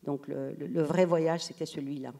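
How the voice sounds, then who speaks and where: A woman writer on a literary panel, telling her own story in a soft-spoken, hesitant way, searching for her words. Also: low voice, fairly even intonation, normal speed, gravelly. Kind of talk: personal storytelling in panel talk. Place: theatre stage at a public literary event.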